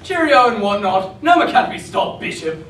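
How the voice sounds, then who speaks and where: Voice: mid-range voice, very animated, normal speed, fairly bright tone, recorded from a distance, a little hiss or hum. A young performer playing a smug, breezy male space spy in a mock-British manner, on an echoey stage. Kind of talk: stage reader's theatre.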